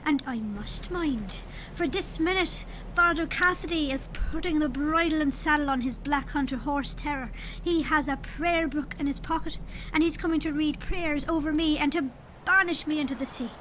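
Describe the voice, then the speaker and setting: High-pitched fiery leprechaun king. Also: moderate pace, fairly bright tone, a little hiss or hum, poor audio. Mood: defiant. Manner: indignant, old-fashioned Irish storybook phrasing. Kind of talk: audio drama character acting.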